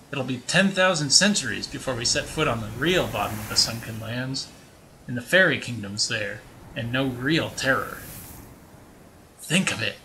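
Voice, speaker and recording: A man's voice: medium pitch, bright, forceful tone, slight background noise, voice pushed up, poor audio. A man playing a weathered, brooding old lighthouse keeper who muses wistfully in a folksy way, in an amateur audio drama. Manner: folksy, musing delivery.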